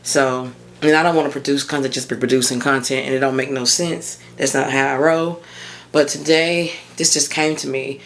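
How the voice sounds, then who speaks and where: A woman in her thirties with a hoarse voice, hosting a casual solo podcast as a mindset coach and chatting informally and encouragingly with her listeners. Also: rumbling low, fairly even intonation, normal speed. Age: 30s.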